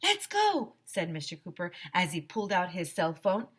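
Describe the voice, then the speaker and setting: A woman teacher reading a children's story aloud in an expressive, dramatic way, speaking to young listeners. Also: on the low side, a little fast.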